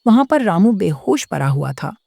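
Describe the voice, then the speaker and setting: A woman's voice: lowish voice, big pitch swings, normal speed, vocal fry, warm tone, husky, dry recording, studio quality. A woman narrating a horror story from a script.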